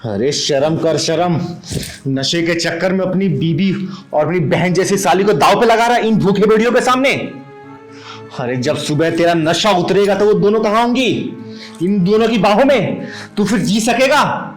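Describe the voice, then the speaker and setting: Casting: male actor as righteous, commanding kinnar protector, highish male pitch, melodramatic scolding, roomy sound. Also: animated, moderate pace. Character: fierce, righteous kinnar (transgender woman) elder sister, scolding protector. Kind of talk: melodramatic moral-story acting. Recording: roomy indoor sound under loud music.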